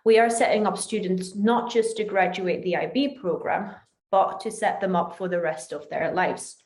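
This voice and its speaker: A woman with a Dutch accent delivers a prepared online presentation. Her pitch sits in the middle range for a woman. The recording is roomy, with clear echo around her voice. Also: fairly even intonation, moderate pace, a little mellow. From Netherlands. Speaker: company territory manager presenting. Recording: roomy, echoing voice.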